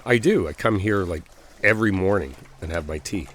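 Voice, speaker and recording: A man's voice: sits low, animated, brisk, fairly bright tone. A man playing a friendly, bashful stranger in a boyfriend roleplay audio, talking casually and a little hesitantly. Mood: relaxed, friendly. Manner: hesitant, apologetic, chuckling, conversational. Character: friendly, bashful male stranger at a lakeside trailer park. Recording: outdoor water ambience noise.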